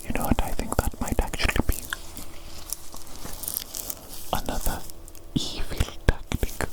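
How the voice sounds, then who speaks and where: A woman's voice: lightly acted, low-quality recording. A woman voicing a pompous evil doctor who dotes on a patient in a whispered ASMR roleplay, theatrical and given to repeating phrases. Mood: scornful, indignant. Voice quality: breathy whisper. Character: pompous evil doctor doting on a big creature.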